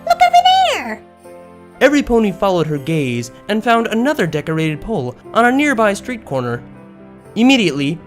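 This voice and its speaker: Lively, higher-voiced man narrating a story. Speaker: narrator of a fan fiction story. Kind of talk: expressive scripted story narration. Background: background music.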